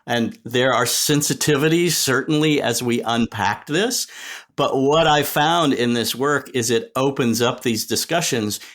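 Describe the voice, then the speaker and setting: A middle-aged or older man giving thoughtful, articulate interview answers as a podcast guest, in measured, reflective phrasing. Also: mid-range voice, a bit bright. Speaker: podcast guest, sonic strategy expert.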